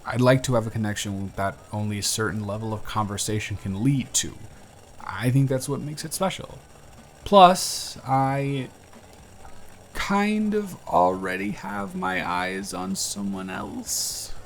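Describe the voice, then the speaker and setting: A man's voice: on the low side, lively intonation, measured pace, a little hiss or hum. A young man playing a chatty, friendly barista who is sweet on a friend, in an intimate one-sided audio roleplay with casual, slightly stammering delivery. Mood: bashful, earnest, then tentative, concerned. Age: young adult. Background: music.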